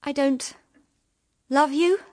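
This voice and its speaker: A woman's voice: high-pitched, takes it slowly, darkish tone, dry recording, studio quality. An actress with a British accent playing a tender-hearted, easily upset young woman in a period radio drama, girlish and hesitant. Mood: shy, awkward. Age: young adult.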